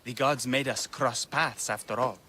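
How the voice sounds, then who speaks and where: A man's voice: medium pitch, fairly even intonation, normal speed, bright, forceful tone, close to an everyday voice. An actor playing a grieving, vengeful man in a video game, solemn and bitter. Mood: subdued, solemn. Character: grieving, vengeful male musician who lost his brother. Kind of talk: video game dramatic acting. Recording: faint ambient noise.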